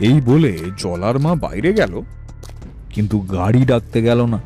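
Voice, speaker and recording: Man, storyteller, medium pitch, dramatised cartoon narration, faint background music. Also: animated, normal speed, mellow.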